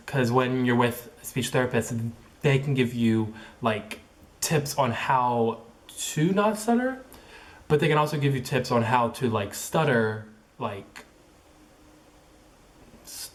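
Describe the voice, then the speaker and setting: A man's voice: mid-range voice, animated. A young man who stutters, talking casually in a personal vlog, with repeated sounds, blocks and many fillers like "like" and "um". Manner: filler-heavy, halting phrasing with many likes and ums. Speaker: vlogger.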